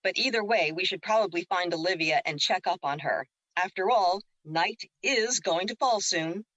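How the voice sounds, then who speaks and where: A woman's voice: lowish voice, moderate pace, fairly bright tone, no echo, muffled recording. A woman playing the Moon as a teasing, wise and reassuring spirit in an audio drama, with a playful, counselling way of talking.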